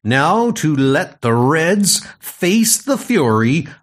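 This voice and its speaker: A man's voice: fairly high pitch, very animated, very slow, pitched above the performer's own voice, full character voice. A man voicing a bold male thunder god hero, reading heroic lines in a proclaiming manner.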